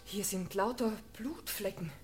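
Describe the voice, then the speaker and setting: An actress playing an alert, excitable daughter in a German radio play, with an urgent, exclaiming delivery. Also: female voice, mid-range voice, measured pace, faint music behind, close to an everyday voice. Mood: alarmed.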